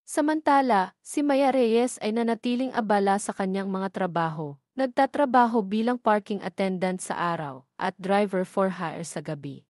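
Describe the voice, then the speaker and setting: A woman's voice: medium pitch, moderate pace, darkish tone, dry recording, studio quality. A woman with a Filipino accent narrating a scripted dramatic story.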